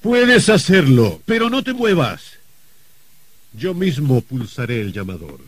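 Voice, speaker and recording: A man's voice: mid-range voice, sing-song, husky, exaggerated voice. An actor playing a wry, confident rich man facing his own murder, in theatrical old radio drama style. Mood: wry, calm. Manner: theatrical, deliberate, sardonic. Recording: vintage radio drama recording.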